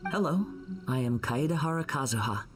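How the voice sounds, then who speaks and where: An actor voicing a calm, gentle young wandering swordsman in an anime video game. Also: mid-range voice, brisk, natural voice. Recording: faint game music behind the voice.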